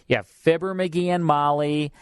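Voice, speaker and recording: A man's voice: on the high side, steady tone, moderate pace, clear, resonant tone, dry recording, studio quality. Chatty male radio host. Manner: chatty, repeats himself, fillers. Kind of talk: casual radio chat and promo read. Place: radio studio. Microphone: studio mic.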